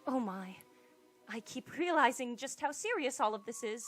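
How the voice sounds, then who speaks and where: A woman voicing a genteel, dramatic young lady suspected of murder in an animated mystery parody. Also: a little high, normal speed, soft background music. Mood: subdued, polite. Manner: genteel, melodramatic, pleading.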